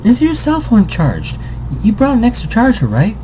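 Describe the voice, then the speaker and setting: High fussy worried mom, a man doing it in falsetto, rushed nagging questions, hum behind. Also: huge pitch swings, dark tone, exaggerated voice, poor audio. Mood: fussing. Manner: anxious, nagging, questions in a rush. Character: fussing worried middle-aged mother.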